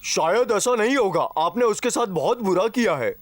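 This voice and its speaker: High-pitched indignant cartoon royal. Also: male voice, lively intonation, normal speed. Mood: wary. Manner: insistent, protesting. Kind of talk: Hindi cartoon dub acting.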